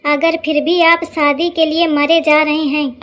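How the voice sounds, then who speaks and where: A woman with a very high voice narrates a scripted comedy. She delivers it as a mock warning to the listener. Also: level tone, a little slow, fairly bright tone.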